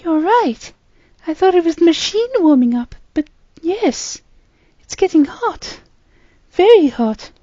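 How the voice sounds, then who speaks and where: A man playing a frightened young girl, high-pitched and pleading, with a pitch that leaps about. The recording is dry and slightly muffled, like a home storybook reading. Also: very animated, slow pace, warm tone, no room sound, full character voice. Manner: anxious, pleading.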